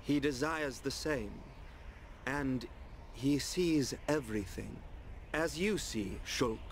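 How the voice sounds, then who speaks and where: A man with a British accent playing a serene, enigmatic young seer in a video game, formal and measured. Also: medium pitch, slight background noise. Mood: serene, cryptic. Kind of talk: video game cutscene acting. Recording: loud music under the dialogue.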